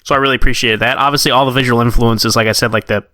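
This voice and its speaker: A man co-hosting a casual review discussion, informal and opinionated, talking in an animated way. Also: mid-range voice, rapid-fire, no echo. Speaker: podcast co-host.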